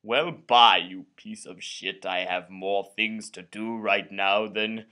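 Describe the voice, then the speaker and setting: A man's voice: on the low side, fairly even intonation, takes it slowly, sharp tone. A young man giving an over-the-top dramatic reading of a letter, emphatic and theatrical, with words drawn out for effect. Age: young adult. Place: indoor room with drop-tile ceiling.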